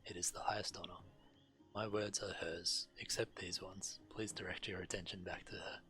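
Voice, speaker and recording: A man's voice: deep voice, little pitch movement, moderate pace, pushed and bright. A man reading game dialogue aloud on a stream, now and then stumbling over words.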